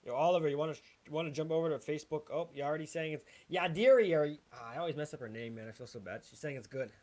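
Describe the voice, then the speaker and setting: A man's voice: on the high side, fast talker, darkish tone. A man hosting a livestream, chatting loosely and hyping an upcoming guest while he checks the setup before the show. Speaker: livestream host.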